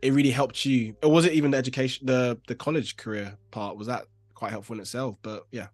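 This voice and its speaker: A man's voice: medium pitch, steady tone, quick pace, no echo. Chatty young man hosting a stream. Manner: chatty, lots of fillers and back-channel "nice"s. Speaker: livestream host. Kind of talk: casual livestream chat. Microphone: video call.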